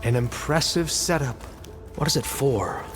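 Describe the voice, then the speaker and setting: A man's voice: mid-range voice, unhurried, over music, close to an everyday voice. A man playing a haughty, formal leader in a game, pompous, curious and demanding. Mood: intrigued. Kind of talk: game cutscene acting.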